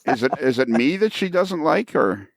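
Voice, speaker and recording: Male podcast host, creaky voice, casual and wry, recorded dry without room sound. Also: fairly high pitch, very animated, normal speed, no echo. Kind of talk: casual chat.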